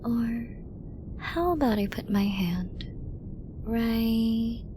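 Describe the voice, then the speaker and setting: Slow, teasing woman acting a flirty villainess. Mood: seductive, teasing. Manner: slow, teasing, breathy lapses into whispering. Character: flirty, dominant female villain, teasing and possessive. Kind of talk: ASMR roleplay, intimate direct address. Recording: noisy with low rumble.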